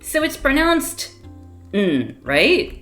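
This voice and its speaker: A woman's voice: high-pitched, huge pitch swings, very slow, a bit bright, soft background music. Chatty, laughing woman streamer. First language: Fiji Hindi. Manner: chatty, rambling, laughs easily. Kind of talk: casual livestream chat while playing a game.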